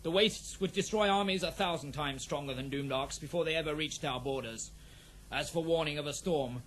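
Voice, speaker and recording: An actor playing a noble, doubtful prince and war leader in a fantasy audio drama, formal and measured. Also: male voice, a little high, fairly bright tone, lightly acted. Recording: faintly noisy old cassette dramatisation.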